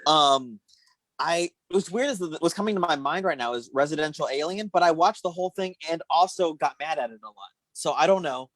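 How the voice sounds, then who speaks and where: A woman's voice: deep voice, lively intonation, fairly quick, no room sound. A woman comedian chatting casually as a guest, with a wry, self-deprecating way of talking. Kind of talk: casual chat.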